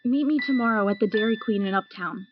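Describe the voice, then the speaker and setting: A woman's voice: medium pitch, quick pace, lightly acted. Direct, mysterious young woman, acted, over faint music. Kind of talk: amateur audio drama acting. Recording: dull lo-fi recording with music.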